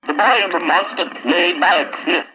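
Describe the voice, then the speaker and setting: A man's voice: sits high, normal speed, pushed and bright, highs cut off. A man narrating a scripted children's horror story dramatically, on a vintage 1970s recording.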